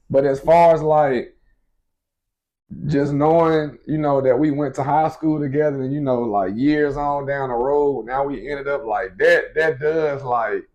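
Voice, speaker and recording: A man in his late twenties talks casually to his viewers, loose and unhurried. His voice sits at an ordinary middle pitch, and his speech is full of easygoing filler phrases. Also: a little mellow, lo-fi sound. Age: 20s. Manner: laid-back, frequent fillers like "you feel me". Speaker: vlogger, expecting father. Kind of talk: casual couple Q&A chat.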